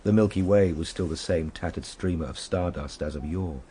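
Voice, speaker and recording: An actor playing a reflective Victorian gentleman recounting his ordeal, in measured, formal radio drama narration. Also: low voice, a little hiss or hum, lightly acted. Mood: grave, reflective.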